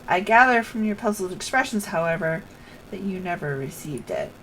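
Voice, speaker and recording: A woman's voice: lowish voice, expressive, moderate pace, a bit bright, a little hiss or hum, rough recording. A woman game streamer carefully reading on-screen dialogue aloud, announcing each speaker before the line.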